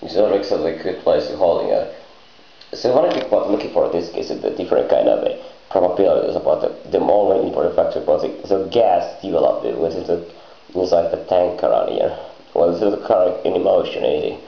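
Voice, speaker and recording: Muffled, low gravelly man, rambling. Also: expressive. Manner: halting, disjointed, hesitant phrasing with garbled grammar. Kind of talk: rambling improvised monologue. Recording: muffled lo-fi, echoey room.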